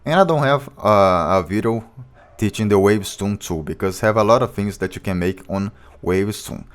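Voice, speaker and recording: A man with a low voice, a music producer walking through his vocal chain step by step in a plain, informal tutorial. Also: normal speed. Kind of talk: tutorial walkthrough.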